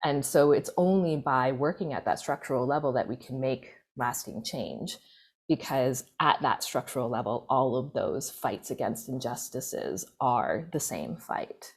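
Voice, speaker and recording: A young Canadian woman speaking on a conference panel, measured and earnest, with deliberate phrasing. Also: very deep voice, animated, breathy edge.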